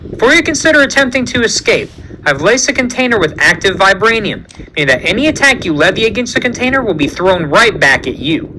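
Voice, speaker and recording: A man voicing a calm scientist team leader who explains things precisely. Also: high voice, fast, slight background noise, pitched above the performer's own voice, full character voice, poor audio. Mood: explaining. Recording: music playing underneath.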